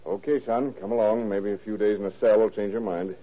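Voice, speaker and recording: An actor playing a calm, shrewd, fatherly American police lieutenant in a classic radio detective drama. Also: lowish voice, fairly quick, natural voice. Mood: measured, probing. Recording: muffled, tinny old radio broadcast.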